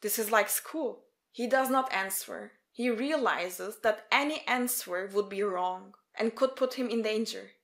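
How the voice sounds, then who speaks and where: A woman's voice: mid-range voice, measured pace, few pauses, fairly bright tone. A woman reading scripted narration as a storyteller.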